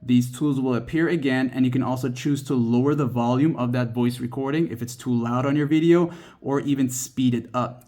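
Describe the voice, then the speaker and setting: A man hosts a how-to tutorial for a mobile app. He speaks straight to the viewer in an instructional way, at a medium pitch, guiding them through each step. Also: warm tone. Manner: instructional, addresses viewer directly. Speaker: tutorial host.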